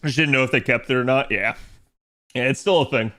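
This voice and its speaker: A man's voice: mid-range voice, fast, sharp tone. A man casually chatting and laughing through a game on his stream, slangy and laid-back. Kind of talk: casual gaming stream chat.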